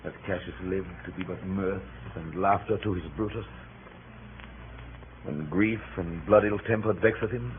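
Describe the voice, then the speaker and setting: Passionate Roman noble, low voice, theatrical radio Shakespeare, muffled vintage broadcast. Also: male voice, unhurried, slight background noise. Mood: hurt. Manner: declamatory, theatrical. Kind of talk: 1930s radio Shakespeare acting.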